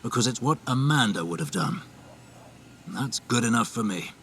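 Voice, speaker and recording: Gravelly, weary resistance fighter. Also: male voice, mid-range voice, lively intonation, normal speed, fairly bright tone, slight background noise. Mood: weary, resolute. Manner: reflective, conversational, understated. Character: weary but resolute freedom fighter, earnest, plain-spoken. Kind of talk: dramatic video game monologue.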